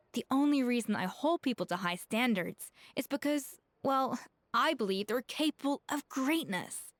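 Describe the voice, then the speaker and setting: Woman playing exacting, high-voiced female master chef. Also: no echo, lightly acted. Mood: earnest, firm. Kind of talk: video game voice acting. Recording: background music behind the voice.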